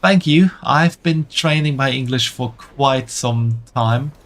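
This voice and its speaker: A man's voice: medium pitch, slow, a bit bright. A man with a German accent, chatting casually with viewers while playing a game on stream. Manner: friendly, answers chat while playing. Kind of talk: casual chat while gaming.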